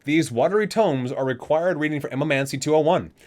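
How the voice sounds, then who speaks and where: A man's voice: a little high, quick pace, a little mellow. A man reading aloud in an ASMR style, stumbling over words and correcting himself between casual asides. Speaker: ASMR video host.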